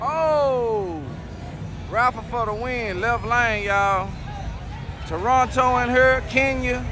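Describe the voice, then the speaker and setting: A man with a high voice and a Texan accent hosts a casual livestream. He talks in a chatty, slangy, exclamatory way, calling out shout-outs. The recording is made outdoors trackside, with clear background noise from cars. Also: slow, a crowd in the background. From Dallas, Texas. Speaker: livestream host of a street racing channel. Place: outdoors at a drag racing strip. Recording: noisy outdoor trackside with car sounds.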